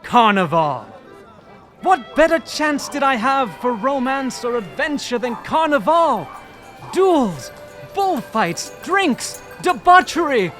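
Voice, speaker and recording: An actor playing an excitable, romantic young Parisian gentleman in an audio drama, with a grandiloquent, dramatic way of talking. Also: extremely high pitch, slow, faint music behind, full character voice. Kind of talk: audio drama acting, storytelling.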